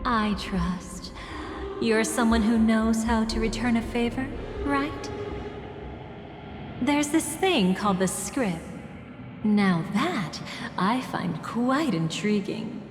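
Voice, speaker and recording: A gleeful, greedy trickster girl from a video game who mocks everyone around her, her tone swinging from sweet to sly. Her voice sits at a middle pitch, and a score plays clearly behind her. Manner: playful, mocking, dramatic swings in tone. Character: scheming theatrical young woman, gleeful and greedy. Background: music.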